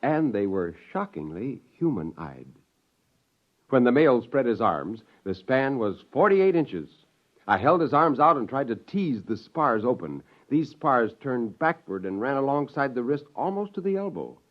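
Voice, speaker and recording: Animated, eccentric middle-aged American scientist. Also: male voice, medium pitch, unhurried. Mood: narrating, excited. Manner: theatrical, chuckling, self-amused. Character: eccentric, gleeful scientist plotting a joke on mankind. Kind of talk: 1950s radio drama acting with first-person narration. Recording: dull, lo-fi 1950s radio recording.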